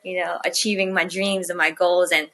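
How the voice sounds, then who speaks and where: Bright-voiced woman, chatty. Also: on the low side, flat delivery, moderate pace. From Kazakhstan. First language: Russian. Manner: chatty, full of "like" and "you know" fillers. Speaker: guest rapper. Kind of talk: casual interview storytelling.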